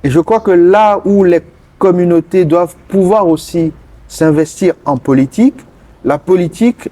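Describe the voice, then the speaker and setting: A man from Togo, answering interview questions earnestly and pausing often mid-sentence to find his words. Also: high-pitched, warm tone. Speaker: interview guest, politician.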